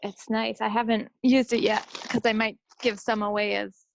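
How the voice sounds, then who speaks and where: A woman talks casually as a podcast co-host. Her voice crackles with vocal fry and sits dry, with no room around it. She comes across as chatty and informal. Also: a little high, flat delivery, normal speed, dry recording. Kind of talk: casual chat about crafts and home life.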